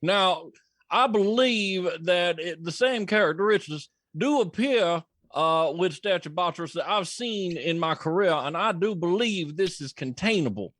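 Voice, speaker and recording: A man playing a folksy, stubborn blue-collar contractor, rambling and halting in a comic mock news interview. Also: sits high, lively intonation, fairly bright tone, no echo, clean studio sound, exaggerated voice. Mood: stubborn, assured.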